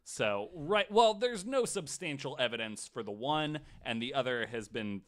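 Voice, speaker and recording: A man co-hosting a podcast, telling a story casually in a skeptical, wry, somewhat halting way. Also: mid-range voice, lively intonation. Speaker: podcast co-host.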